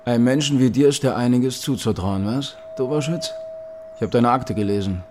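A man's voice: mid-range voice, moderate pace, noisy background, close to an everyday voice. A man playing a sneering, mocking officer. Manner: taunting, sarcastic. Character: sneering male Stasi-style officer, cruel and mocking. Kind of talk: radio drama acting.

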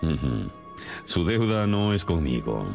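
Deep-voiced, animated male detective. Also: gravelly, music in the background, heavily acted. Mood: knowing. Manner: theatrical, deliberate, explanatory. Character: shrewd, self-assured male detective explaining his deductions. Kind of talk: radio drama acting.

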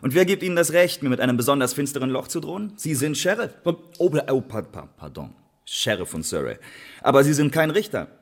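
An actor playing a defiant, witty playwright prisoner in a German radio drama, mocking, indignant and wry. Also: male voice, on the high side, big pitch swings, a little fast. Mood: mocking defiance. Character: defiant, witty male playwright held prisoner under interrogation.

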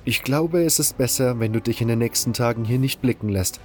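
A man playing a serious, cautious comrade who speaks in a measured way in a fantasy audio drama. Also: mid-range voice, faint music behind, pitched above the performer's own voice, natural voice. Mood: grave, worried. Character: grave, cautious male comrade sizing up danger.

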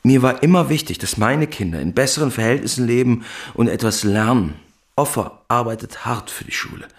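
A man's voice: medium pitch, fairly quick, lightly acted. An actor playing a guarded, weary middle-aged working-class father in a radio drama, speaking in a terse, reflective, subdued way. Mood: wistful, subdued. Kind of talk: German radio drama acting, subdued realism.

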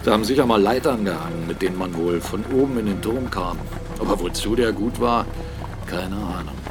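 Actor as blunt, defiant mill owner, music behind. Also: male voice, lowish voice, a little fast, lightly acted. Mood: matter-of-fact, grim. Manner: argumentative, emphatic, rhetorical questions. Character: blunt, defiant male mill owner and captain, critical of church. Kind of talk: German radio drama acting.

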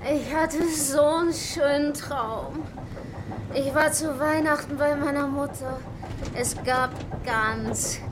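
An actress playing a hungry, exasperated landlubber survivor at sea in a German radio horror drama. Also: female voice, sits high, slow, over music. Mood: dreamy, wistful.

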